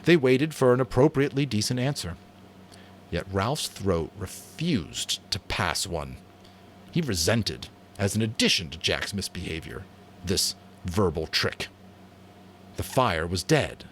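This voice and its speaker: A man narrating a novel as a dramatic audiobook reading, expressive and theatrical. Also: fairly low pitch, measured pace, a little hiss or hum.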